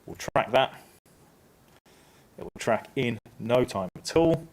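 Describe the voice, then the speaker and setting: A man presenting a casual, upbeat software tutorial on a conference stage, stepping through each click. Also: mid-range voice, animated, takes it slowly. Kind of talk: live software tutorial talk.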